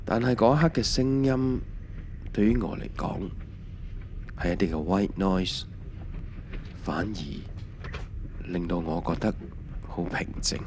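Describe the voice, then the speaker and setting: A middle-aged man with a Hong Kong Cantonese accent, playing a mild husband who narrates an audio drama in a reflective, unhurried way. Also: deep voice, background noise. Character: mild, bumbling husband narrating his family's emigration. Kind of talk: scripted first-person narration in an audio drama.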